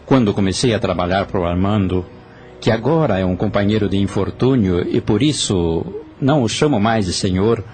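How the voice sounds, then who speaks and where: Guy acting sorry weary ghost confessing, low voice, brazilian accent, radio play with music. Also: normal speed, darkish tone, close to an everyday voice. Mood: remorseful. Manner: earnest, confessional. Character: remorseful male spirit, weary former employee confessing his wrongs. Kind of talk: Portuguese-language audio drama acting.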